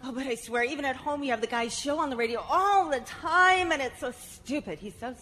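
High, whiny young girl. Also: a little fast, bright, forceful tone. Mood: scornful. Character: whiny young daughter, dramatic and scornful. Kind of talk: comedic live radio play acting. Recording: echoey theatre hall, music behind.

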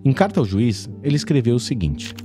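A man reporting and narrating a true-crime podcast in a measured investigative way. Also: mid-range voice, big pitch swings, warm tone, faint music behind.

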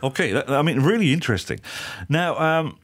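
A man's voice: on the high side, lively intonation, brisk. A middle-aged man interviewing a founder, conversational, often checking in with "right?". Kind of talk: interview questions.

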